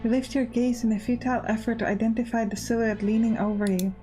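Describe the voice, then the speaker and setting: A woman with a fairly high voice hosts a let's play. She switches between commentary and reading the game's text aloud, with music faintly playing behind her. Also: little pitch movement, fast talker, dark tone, low-quality recording. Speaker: gaming channel host.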